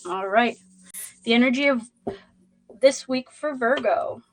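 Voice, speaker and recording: A woman's voice: a little high, a little slow, slight background noise, rough recording. A woman with a Boston accent hosting a zodiac reading livestream, chatting loosely with many fillers and greeting viewers in the chat. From Boston, USA. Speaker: tarot reader hosting a livestream.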